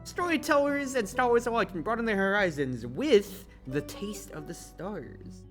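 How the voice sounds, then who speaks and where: A streamer commentating on a game and reading its text aloud in an expressive, theatrical, exclamatory way. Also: mid-range voice, normal speed, soft background music. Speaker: streamer, host.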